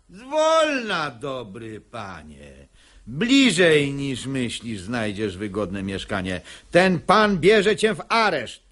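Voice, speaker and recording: Pious male hypocrite: highish voice, slow, pompous, smug, for radio verse comedy. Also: fairly bright tone, exaggerated voice. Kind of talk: verse stage comedy performed as radio drama.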